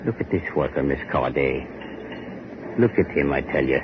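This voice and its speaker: An actor playing a grim, bitter older factory overseer in a 1940s radio horror play, with menacing, taunting, rustic speech. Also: male voice, a bass voice, lively intonation, moderate pace. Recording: noisy, dull 1940s radio recording.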